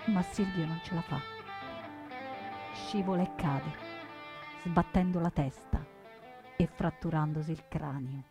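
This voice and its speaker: A woman delivering solemn, emphatic scripted narration for radio theatre, with deliberate dramatic phrasing. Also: sits low, over music. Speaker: actress and narrator.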